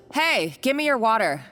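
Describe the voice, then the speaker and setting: A woman's voice: high-pitched, fairly bright tone, exaggerated voice. A woman playing a domineering, gloating female villain in a video game, speaking with taunting condescension. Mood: imperious. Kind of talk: anime-style video game acting.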